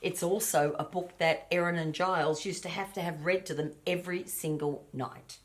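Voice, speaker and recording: A woman's voice: lowish voice, fairly even intonation, normal speed. An older woman, a grandmother, reading a children's storybook aloud expressively and speaking directly to her grandchildren in asides.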